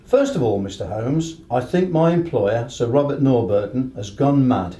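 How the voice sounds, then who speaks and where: An actor playing a worried, deferential horse trainer in a radio play, earnest and plain-spoken, with a British accent. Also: male voice, medium pitch, expressive, moderate pace, mellow, echoey room, close to an everyday voice. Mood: earnest, troubled.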